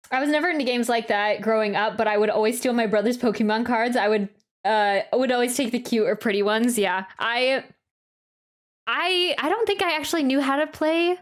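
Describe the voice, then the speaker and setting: A woman giving casual gameplay commentary, chatty and rambling, often interrupting herself mid-sentence. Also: a little high. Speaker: streamer reacting to a video game.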